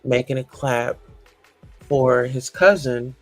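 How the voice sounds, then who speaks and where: A man commenting slowly and thoughtfully on a story, leaning on "you know" a lot. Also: mid-range voice, faint music behind. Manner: slow, hedging, repeats "you know". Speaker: podcast commentator. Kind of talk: reflective commentary.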